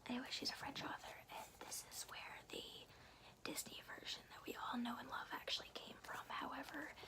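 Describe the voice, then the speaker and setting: Whispering woman, soft ASMR reader. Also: a little high. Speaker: ASMR host reading a book aloud. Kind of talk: whispered ASMR, casual rambling chat and storybook reading.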